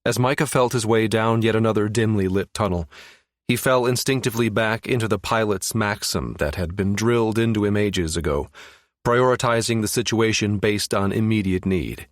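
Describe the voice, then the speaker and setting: A man's voice: on the low side, moderate pace, dry recording, clean studio sound. A man narrating fiction as an audiobook reader, in a scripted storytelling style.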